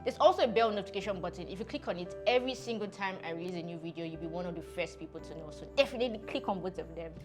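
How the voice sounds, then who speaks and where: A woman's voice: lowish voice, expressive, very fast, few pauses, over music. A woman hosting her own interview channel, bubbly and enthusiastic. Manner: bubbly, enthusiastic, laughs often. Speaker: YouTube interviewer, channel host.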